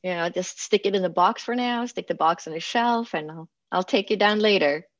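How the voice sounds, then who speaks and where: Woman host, kinda low voice, lots of ums, dull dry mic sound. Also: moderate pace, no echo. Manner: warm affirmations, hesitant with many fillers. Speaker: interview host. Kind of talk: interview conversation.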